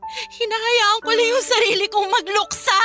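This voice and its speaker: An actress with a Filipino accent playing a stubborn, wounded young widow who is grieving, in an emotional radio drama style. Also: female voice, very high-pitched, steady tone, normal speed, pushed and bright, faint music behind. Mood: defensive, hurt. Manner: emotional, pleading, defensive.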